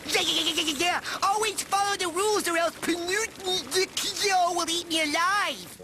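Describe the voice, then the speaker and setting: An actor voicing a goofy, dim and excitable little boy in a cartoon, with a stammering delivery. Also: extremely high pitch, moderate pace. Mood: dismissive. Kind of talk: cartoon voice acting. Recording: music under the voice.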